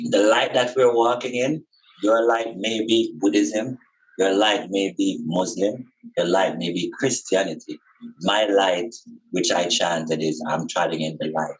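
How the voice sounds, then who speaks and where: A man's voice: fairly low pitch, low-quality recording. A man talking spiritual matters in an unscripted podcast conversation, emphatically repeating phrases to drive them home.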